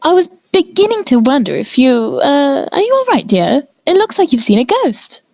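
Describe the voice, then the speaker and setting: A woman's voice: sits high, sing-song, moderate pace, vocal fry, darkish tone, dry recording, heavily acted. A woman voicing a refined, fussy cartoon lady unicorn in a genteel, concerned manner.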